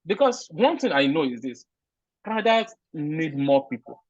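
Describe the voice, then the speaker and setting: A man's voice: a little high, sing-song, moderate pace, dry recording. A man from Nigeria with a Nigerian accent, answering interview questions in an earnest, emphatic way with frequent restarts. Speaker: interview guest, recent immigrant. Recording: dull, lo-fi sound.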